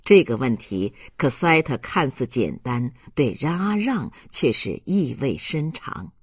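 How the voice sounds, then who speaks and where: Narrator for literary fiction: unhurried, husky, expressive storytelling in a dry recording. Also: medium pitch, no echo. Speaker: audiobook narrator.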